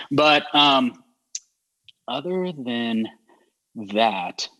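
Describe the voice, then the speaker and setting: A man hosting a local talk show, speaking in a relaxed, rambling way with many fillers and restarts and a neutral American accent. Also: medium pitch, drawn-out words, rough recording. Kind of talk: casual interview chat.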